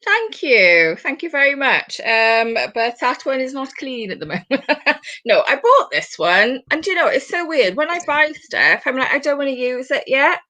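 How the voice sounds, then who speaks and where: A woman in her forties with a British accent, hosting a casual chat over a video call, rambling with quick asides. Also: high-pitched, big pitch swings, bright, forceful tone. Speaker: host.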